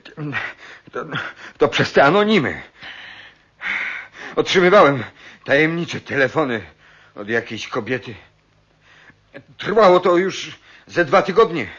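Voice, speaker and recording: An actor as a slow-talking, distraught husband. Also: male voice, on the high side, very animated, a bit bright, heavily acted. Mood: shaken. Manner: halting, emotional, repeating words. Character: distraught betrayed husband, an overworked office man turned remorseful killer. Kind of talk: Polish radio play acting. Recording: dull muffled radio-play recording.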